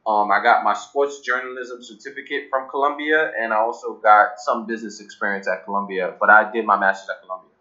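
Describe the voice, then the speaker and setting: A man's voice: lowish voice, steady tone, brisk, few pauses, pushed and bright, highs cut off. A young man from Cameroon, a guest in a casual interview, talking loosely with many fillers and restarts. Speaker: guest, app founder.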